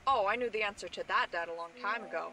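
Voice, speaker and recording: A woman playing a confident young detective in an old-time radio drama, polite, assured and deliberate. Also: medium pitch, bright, forceful tone. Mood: triumphant. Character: confident, courteous young amateur detective Ellery. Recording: noisy amateur radio play recording.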